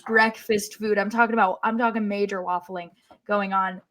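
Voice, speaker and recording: Woman chatting on a sports podcast, kinda wry, likes to exaggerate, regular mid pitch. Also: steady tone, normal speed, fairly bright tone, slight background noise. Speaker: podcast co-host. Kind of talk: casual sports podcast discussion.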